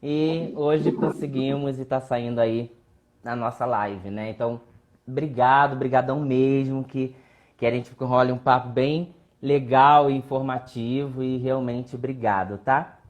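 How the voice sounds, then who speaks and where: A man hosting a casual live interview, friendly and chatty, often tagging questions with a quick filler. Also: medium pitch, steady tone, dull audio. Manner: friendly, laughs often, says né a lot. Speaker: psychologist host of the live.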